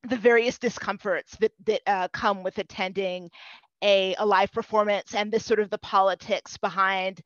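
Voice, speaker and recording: A woman speaking on a video-call panel from home in a careful, semi-formal, halting way. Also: mid-range voice, fairly even intonation, no echo. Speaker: panelist, theatre practitioner. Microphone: headset. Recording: dull, lo-fi video-call audio.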